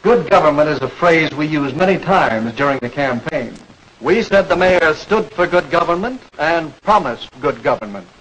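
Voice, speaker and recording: An actor playing a patient campaign mentor, explaining and probing with questions in a 1940s educational film. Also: male voice, a little high, lively intonation, normal speed, a bit bright. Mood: instructive. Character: patient campaign speechwriter coaching a young learner. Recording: noisy old 1940s film soundtrack.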